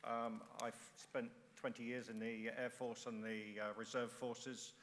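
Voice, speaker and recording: Middle-aged ex-serviceman from England, hesitant floor speech; bright, forceful tone, long hall reverb. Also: mid-range voice, level tone, slight background noise. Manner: halting, many uhs and restarts. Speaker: first-time conference speaker, ex-air force. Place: large conference hall.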